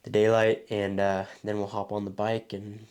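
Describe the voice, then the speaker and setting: A young man chatting casually in a vlog about his training, his voice hoarse and throaty from a cold, rambling with many fillers. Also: lowish voice, monotone, moderate pace, a little mellow. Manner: loose and rambling, many ums and restarts. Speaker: vlogger training for a triathlon.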